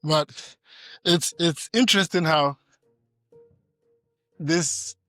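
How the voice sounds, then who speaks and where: Man, animated storyteller. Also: on the high side, drawn-out words, no echo, clean studio sound, faint music behind. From Ghana. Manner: lively storyteller, repeats words and laughs often. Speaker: podcast host. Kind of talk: casual conversational interview.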